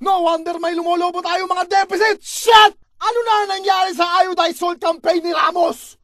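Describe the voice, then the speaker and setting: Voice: extremely high pitch, fairly even intonation, normal speed, no echo, studio quality, pitched above the performer's own voice. A performer doing a shrieking, furious taxpayer rant. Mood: furious outrage. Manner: shouting, exasperated, theatrical cursing.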